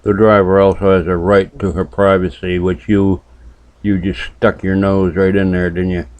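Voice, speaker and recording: A man's voice: low-pitched, level tone, moderate pace, a little mellow. A man from New Hampshire hosting a casual reaction commentary channel, making dry, sardonic remarks. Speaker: YouTube commentary host.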